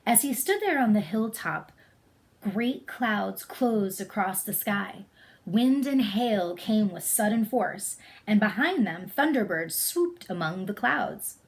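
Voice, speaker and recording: A woman reads a story aloud as its narrator. Her voice sits at a medium pitch, in a storybook read-aloud style. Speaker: storybook reader.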